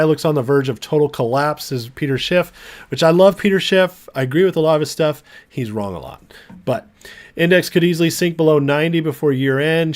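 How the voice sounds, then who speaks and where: American man livestreaming with a tiring voice. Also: a little high. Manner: informal, chummy, addresses viewers directly. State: recovering from illness, voice starting to give out. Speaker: livestream host. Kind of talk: casual livestream chat, reading viewer comments.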